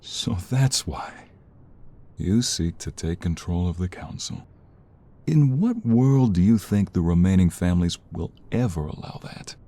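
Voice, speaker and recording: A man's voice: sits low, animated, measured pace, warm tone. An actor playing a stern, principled older mob father in an audio roleplay, speaking with grave authority. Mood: reproving. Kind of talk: dramatic audio roleplay acting.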